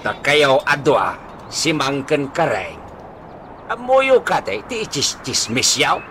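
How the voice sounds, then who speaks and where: A man's voice: a little high, very animated, bright, forceful tone, a little hiss or hum, full character voice. A man with an Ilocano accent acting an exasperated, bossy village captain who scolds in a comedy radio drama. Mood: accusing. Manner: scolding, drawn-out emphatic cries.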